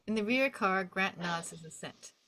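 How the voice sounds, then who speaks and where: A woman narrating the stage directions at a script table read in an expressive storytelling manner. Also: mid-range voice, moderate pace. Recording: faint background noise and clicks.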